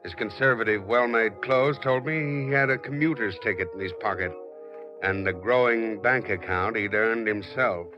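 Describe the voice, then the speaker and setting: An American man playing a shrewd, world-weary, sympathetic heavyset private detective in a 1940s radio drama, measured and matter-of-fact. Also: fairly low pitch, natural voice. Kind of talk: 1940s radio acting, first-person detective narration. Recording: dull 1940s radio recording, music behind. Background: musical instrument.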